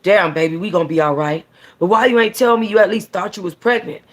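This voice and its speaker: A woman's voice: medium pitch, fast, natural voice. A woman voicing a blunt, slangy, streetwise and protective boyfriend in an audiobook-style story reading. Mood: reassuring. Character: streetwise young man, lustful, protective boyfriend.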